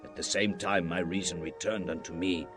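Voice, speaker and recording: A man's voice: sits low, steady tone, moderate pace, music in the background, natural voice. An actor playing a proud, commanding Babylonian king in a solemn scripture dramatization, declaiming in a grand, stately way. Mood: reverent.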